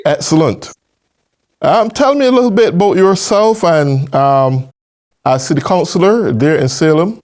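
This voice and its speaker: A man's voice: fairly high pitch, lively intonation, unhurried, a little mellow. Male host with friendly affirmations. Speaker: talk show host. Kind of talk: hosting an interview.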